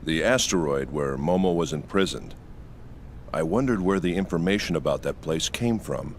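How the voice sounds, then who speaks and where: Deep-voiced, brooding sci-fi man. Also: normal speed, a little hiss or hum. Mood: grave, suspicious. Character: grave, analytical, suspicious man in a sci-fi drama. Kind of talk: video game voice acting.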